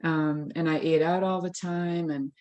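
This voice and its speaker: A woman's voice: low voice, steady tone, unhurried, a little mellow, dull audio. A Scottish-born woman with an American accent, reflectively sharing a personal story over a video call. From Scotland. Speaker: congregation member. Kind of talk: casual sharing.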